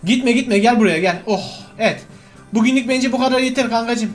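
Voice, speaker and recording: A man with a high-pitched voice chats through gameplay with a friend. He is excitable and slangy, often exclaiming and pleading, with light music underneath. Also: brisk. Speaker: gaming video host. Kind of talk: casual gameplay banter with a friend.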